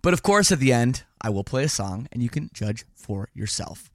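Slightly hoarse man, lively up-and-down host. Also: mid-range voice, normal speed, no room sound, studio quality. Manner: upbeat, rambling, self-deprecating asides. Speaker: podcast host. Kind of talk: casual chatty podcast intro.

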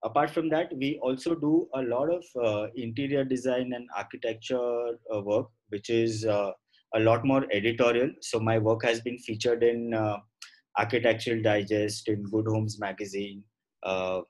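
A middle-aged man with an Indian accent and a mid-pitched voice speaks as an interview guest. He explains things conversationally and haltingly, restating phrases to make his point. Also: steady tone, a little mellow, low-quality recording. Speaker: guest, professional photographer.

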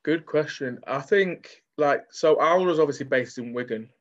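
A man's voice: on the high side, normal speed. Mancunian man, dull lo-fi sound. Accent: Manchester. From Manchester, England. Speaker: interview guest, actor. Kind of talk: candid conversational interview.

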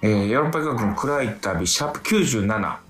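A man's voice: lowish voice, expressive, brisk. A man in casual radio chat as a guest, explaining things in a chatty way and laughing easily. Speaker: guest promoting a show he co-hosts. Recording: roomy sound over loud music. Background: loud background music.

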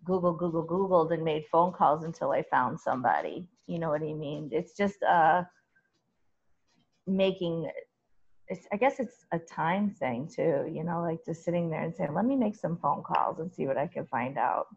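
A talkative woman with a loud voice, chatting as an interview guest and laughing often as she talks. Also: low voice, moderate pace, gravelly, husky, highs cut off. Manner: chatty and laughing, with many restarts. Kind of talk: casual conversational interview.

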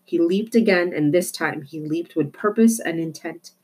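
A woman with a slightly low voice narrates a children's book. Her delivery is expressive storytelling, read from the page. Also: normal speed, breathy edge. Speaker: storybook reader.

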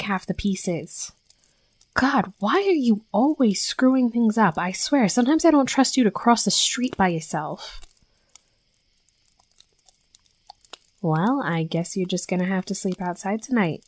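A woman's voice: medium pitch, dry recording, natural voice. A young woman playing a brash, sweary tsundere werewolf bully who scolds with grudging protectiveness, in a scripted audio roleplay. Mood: exasperated, scolding. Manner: scolding, sarcastic, sweary, exasperated. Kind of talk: scripted first-person roleplay audio acting.

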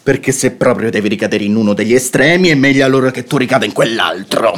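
An actor playing a sensible relative in a radio drama, lecturing emphatically and counselling moderation. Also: male voice, medium pitch, quick pace, natural voice. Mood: exasperated, lecturing. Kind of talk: theatrical radio drama acting.

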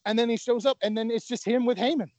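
A man's voice: very high-pitched, steady tone, fast talker, darkish tone, no echo, dull audio. Stammering, enthusiastic man on a podcast. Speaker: podcast co-host. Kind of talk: casual wrestling chat.

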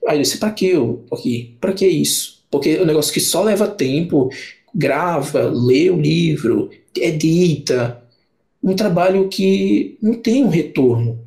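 A man's voice: a little high, expressive, measured pace, gravelly, darkish tone. Young man. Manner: rambling, many restarts and fillers. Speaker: book channel creator, podcast guest. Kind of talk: casual podcast conversation.

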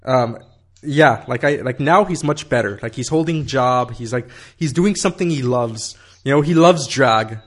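A man's voice: medium pitch, normal speed, a bit bright. A man in his thirties telling a long story in casual conversation, rambling with many fillers, swearing and laughing.

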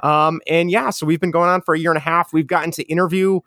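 A man with a strong Chicago accent, talking as a guest in a relaxed, rambling way with many restarts. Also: a little high, fast talker, dry recording, clean studio sound. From Chicago area. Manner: mellow, rambling with frequent restarts. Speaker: guest, educator and podcaster. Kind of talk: casual conversational interview.

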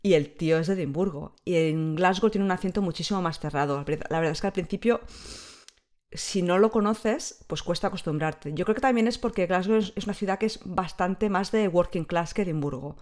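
A woman's voice: lowish voice, brisk, a little mellow. A woman in her thirties chatting as a podcast guest, explaining things fluently in long stretches. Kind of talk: casual conversation.

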